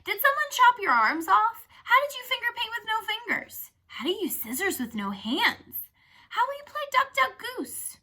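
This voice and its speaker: A woman mimics small kindergarten children in a storybook. The voice jumps up high and turns very bright. The delivery is childish and exaggerated, nosy and blunt. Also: big pitch swings, moderate pace, heavily acted. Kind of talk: storybook character reading.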